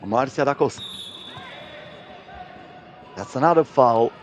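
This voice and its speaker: A man's voice: medium pitch, lively intonation, slow pace, slight background noise. A man giving live play-by-play commentary on a basketball game as a sports commentator.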